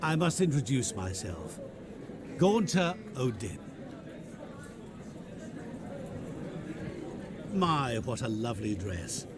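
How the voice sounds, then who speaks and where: An actor playing a mysterious, smooth-talking male stranger who is courteous, theatrical and taunting, in a video game cutscene. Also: medium pitch, sing-song, a little slow, crowd noise. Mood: seductive. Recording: game cutscene with music score.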